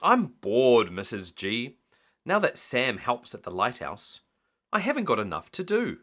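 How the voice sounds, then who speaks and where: A man's voice: medium pitch, huge pitch swings, a little slow, fairly bright tone, heavily acted. A man voicing a stubborn, blustery older male lighthouse keeper in a storybook, exclaiming and grumbling. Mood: bored.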